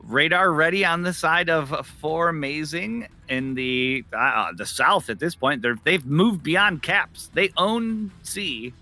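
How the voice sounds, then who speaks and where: Animated, bright-voiced male esports commentator. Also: on the high side, takes it slowly, hardly any pauses. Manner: emphatic, repeats phrases for effect. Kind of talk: live game commentary.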